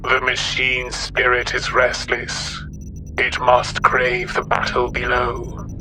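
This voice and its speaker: A man voicing a formal, coldly irritated machine-priest in an audio story, speaking in a crackling mechanical monotone through a robotic modulator. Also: mid-range voice, soft background music, pitched above the performer's own voice. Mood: flat, deferential. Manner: formal, measured. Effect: crackling robotic vocal modulator.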